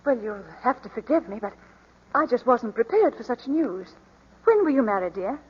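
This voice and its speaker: An actress playing a middle-aged upper-class mother, gracious but condescending, with polite measured speech in a 1940s radio drama. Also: on the high side, big pitch swings, breathy edge. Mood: gracious, surprised. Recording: muffled 1940s radio broadcast, reverberant hall.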